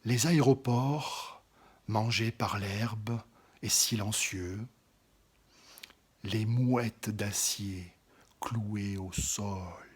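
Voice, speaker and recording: A man giving a slow, dramatic poetry recitation, often dropping into an intimate whisper and swelling louder on some phrases. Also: lowish voice, husky.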